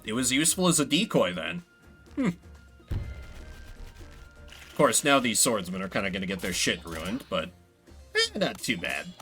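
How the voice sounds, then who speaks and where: A man's voice: lowish voice, sing-song, measured pace, pauses often, sharp tone, faint music behind. A man giving casual, wry solo commentary as he streams a video game.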